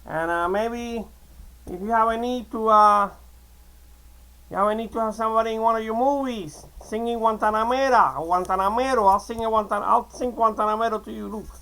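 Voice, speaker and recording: A man in his sixties from Cuba, with a notably high voice for a man, chats loosely to his camera. He wanders from point to point, restarts sentences and tosses in "okay?" to keep his viewers with him. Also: fairly quick, pushed and bright. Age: 60s. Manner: chatty, digressive, repeats "okay?" and restarts often. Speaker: vlogger. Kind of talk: casual rambling monologue to camera.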